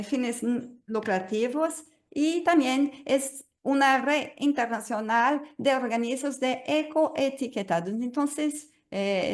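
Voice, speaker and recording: Woman, native Portuguese speaker, slow and hesitant webinar presenter on a video call. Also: on the high side. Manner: hesitant, many fillers and restarts. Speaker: guest speaker.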